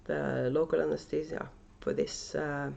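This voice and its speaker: A woman's voice: low-pitched, flat delivery, unhurried, vocal fry, a little mellow, dull audio. A woman with a Norwegian accent chats casually and unscripted as host of a knitting video podcast at home, with many fillers and restarts. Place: at home, indoors. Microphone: camera microphone.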